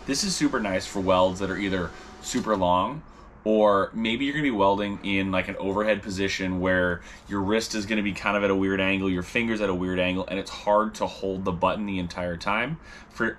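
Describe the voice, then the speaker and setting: A man's voice: deep voice, a bit bright, slight background noise. A man in his thirties explaining welder settings to camera in a casual tutorial manner, recorded in a garage workshop. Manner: plain explanatory, casual fillers. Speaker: welding instructor.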